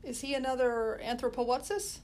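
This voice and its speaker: A woman's voice: high-pitched, moderate pace, darkish tone, slight background noise, voice pushed up, lightly acted. A woman doing a cheeky street boy. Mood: easygoing. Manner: slangy, easygoing.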